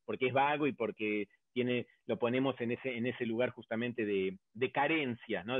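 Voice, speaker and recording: A man's voice: medium pitch, no echo. Argentine man on a tinny line. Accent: Argentine Spanish. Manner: hesitant, many fillers, builds arguments step by step. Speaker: academic panelist. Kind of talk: round-table discussion. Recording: dry, tinny phone-like line.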